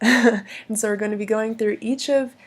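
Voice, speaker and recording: Woman w/ bronchitis, raspy and breathy, lots of ums while she explains stuff. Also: fairly high pitch, fairly even intonation, moderate pace. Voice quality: husky, gravelly. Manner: hesitant, many ums and restarts. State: hoarse from bronchitis. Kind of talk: explaining logical fallacies.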